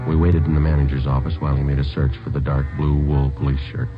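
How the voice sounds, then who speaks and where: A man's voice: very deep voice, flat delivery, quick pace. A man playing a stoic police sergeant in a radio drama, narrating and talking in a clipped, matter-of-fact way. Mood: deadpan. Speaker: narrator and lead police detective. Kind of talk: 1950s radio drama acting. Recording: dull old radio recording, music behind.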